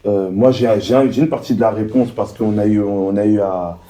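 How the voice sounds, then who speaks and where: A man hosting a faith podcast, asking questions in a casual, halting way and often finishing the guest's sentences. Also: lowish voice, fairly even intonation, warm tone. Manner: hesitant, many fillers and restarts, finishes the guest's sentences. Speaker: podcast host. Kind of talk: interviewing, casual chat.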